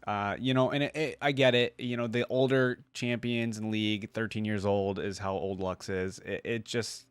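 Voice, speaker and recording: A man's voice: lowish voice, dry recording. A man giving casual, rambling, unscripted commentary to his viewers as the host of a video game reaction channel. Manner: rambling, conversational.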